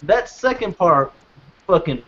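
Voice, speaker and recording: A man co-hosts a casual podcast, swearing often and wandering through his points with restarts and fillers. His voice sits high for a man. The recording is dull and lo-fi. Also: sing-song, slow pace, a bit bright. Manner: profane, rambling, with restarts and fillers. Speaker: podcast co-host. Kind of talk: casual chat.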